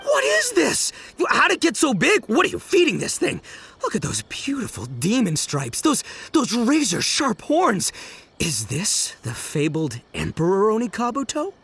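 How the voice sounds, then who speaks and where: A man's voice: extremely high pitch, huge pitch swings, unhurried, vocal fry, fairly bright tone, breathy edge, exaggerated voice. A man voicing a brash, boastful young beetle-fighting champion in a video game, slangy and boisterous, laughing often. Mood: animated.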